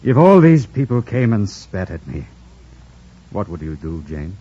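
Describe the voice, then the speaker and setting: An actor playing a troubled, commanding gentleman in a mid-century radio drama, with intense, theatrical, formal diction. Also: on the low side, sing-song, measured pace, slightly rough, exaggerated voice. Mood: searching, grave. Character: brooding, commanding, troubled gentleman master of a house. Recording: muffled, noisy old radio broadcast.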